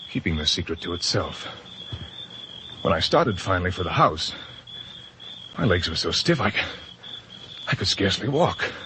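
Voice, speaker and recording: An actor playing a guilt-ridden, anxious husband telling his story in a halting, overwrought old-time radio drama style. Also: deep voice, noisy background. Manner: halting, stammering, overwrought delivery. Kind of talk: old-time radio drama acting, first-person confessional narration. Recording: old radio broadcast with music bed.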